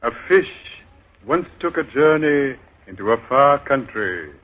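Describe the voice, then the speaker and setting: A man's voice: medium pitch, expressive, a little slow. A sly beggar king posing as a god. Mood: grandly deceptive. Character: cunning beggar leader posing as a god, male adult. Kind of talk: 1930s radio drama acting. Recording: muffled old radio broadcast with music.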